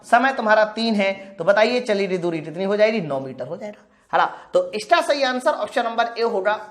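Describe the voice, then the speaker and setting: High-voiced woman teaching. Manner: asks questions and answers them herself; addresses students directly and informally. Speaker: physics teacher for exam preparation. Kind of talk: live lesson, working through practice questions step by step.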